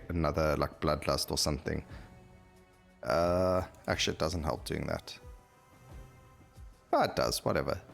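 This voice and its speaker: A man with a low voice gives casual gameplay commentary over faint music. He hosts in a rambling way, thinking out loud as he plays. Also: vocal fry. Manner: rambling, thinking aloud while playing. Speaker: gameplay video host.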